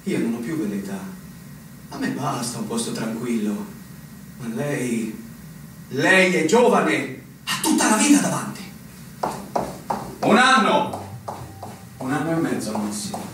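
An actor playing a resigned, wistful older army captain in a radio drama, with theatrical swells. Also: male voice, mid-range voice, big pitch swings, unhurried, long pauses, very echoey, distant mic, background noise. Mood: resigned, urging.

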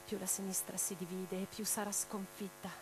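A woman playing an imprisoned, defiant revolutionary in a radio theatre monologue, reading her letters with theatrical, deliberate phrasing. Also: medium pitch, little pitch movement, lightly acted. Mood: sombre, tender. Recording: clearly noisy track with mains hum.

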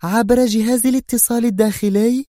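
A shrill, high-pitched woman spy, as a male narrator puts her on, pressing her point in an urgent, strained rush. The voice is mellow and dark in tone despite its height. Everything sounds close and dry, with no room around it. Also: steady tone, fairly quick, no room sound. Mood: agitated. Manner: urgent, agitated. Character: sharp-tongued nervous woman spy. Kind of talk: audiobook character reading.

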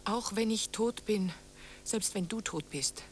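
A woman's voice: mid-range voice, measured pace, a little hiss or hum, natural voice. An actress in a German radio play voicing a ghostly wife who taunts and haunts her husband in an eerie, challenging manner. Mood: eerie, daring.

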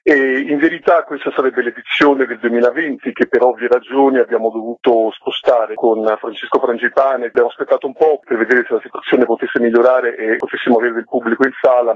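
Muffled lofi line, guy with husky voice explaining stuff as interview guest. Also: mid-range voice, dry recording. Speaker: interview guest, theatre festival curator.

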